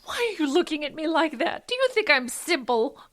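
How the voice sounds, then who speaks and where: Shrill, haughty, hysterical rich mother. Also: expressive, fairly quick, a bit bright. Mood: indignant. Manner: imperious, melodramatic, gasping outrage. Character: haughty, hysterical wealthy mother, indignant and demanding. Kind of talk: comic audio drama acting.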